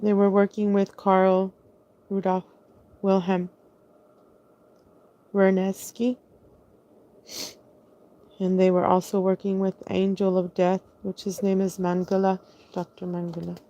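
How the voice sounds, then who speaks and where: A woman's voice: medium pitch, monotone, slow pace, long pauses, dark tone, low-quality recording. A woman telling her own story in a casual, rambling monologue, hesitating often, restarting sentences and spelling out words letter by letter.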